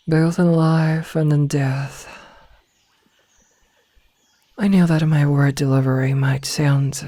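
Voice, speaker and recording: A woman playing a possessive, menacingly loving female werewolf in an ASMR roleplay, speaking softly and coldly, often slipping into a whisper. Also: deep voice, gravelly, husky, no echo, exaggerated voice. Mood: devoted, menacing. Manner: cold, callous, deliberate word delivery. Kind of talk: ASMR roleplay, slow intimate delivery.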